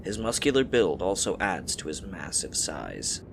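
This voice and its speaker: A man's voice: low voice, sing-song, measured pace, creaky, noisy background. A man reading scripted fantasy story narration as the narrator of an audio drama.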